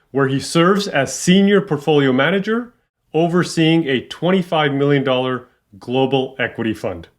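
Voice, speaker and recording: A man hosting a podcast interview, upbeat and welcoming, with frequent fillers. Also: medium pitch. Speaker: podcast host.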